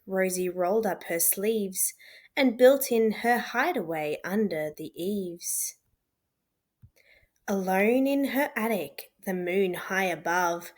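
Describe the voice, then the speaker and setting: A woman storyteller reading a rhyming children's picture book aloud as scripted narration. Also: mid-range voice.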